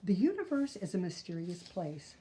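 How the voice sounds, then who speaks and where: An older woman reading a children's picture book aloud, phrasing deliberately and adding gentle asides for young listeners. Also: on the low side, very animated.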